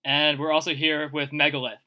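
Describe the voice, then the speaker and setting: Rambling podcast host, bright voice. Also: male voice, a little high, flat delivery, fairly quick, clear, resonant tone. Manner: rambling, filler-heavy storytelling with laughs. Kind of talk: casual group podcast chat.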